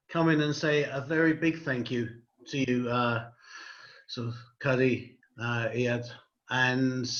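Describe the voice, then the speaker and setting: A man hosts an online event and thanks his guest politely, hesitating with many ums. He speaks in a flat, level tone at a middle pitch. The video call audio is dull and lo-fi. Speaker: host, rabbi.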